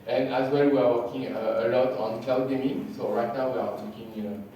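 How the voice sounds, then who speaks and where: A man from France with a French accent, slightly sick and losing his voice, giving a conference talk with halting, repeated words. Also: mid-range voice, steady tone, highs cut off. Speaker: conference speaker. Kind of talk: conference presentation. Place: large hall. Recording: echoey hall from far off, faint hiss.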